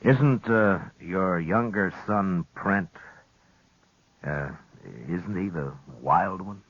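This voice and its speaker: An American actor playing a calm, laconic frontier marshal with a husky voice in a 1950s radio western. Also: male voice, low-pitched, very slow, no room sound, exaggerated voice. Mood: probing. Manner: laconic, hesitant probing questions. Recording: dull vintage radio recording.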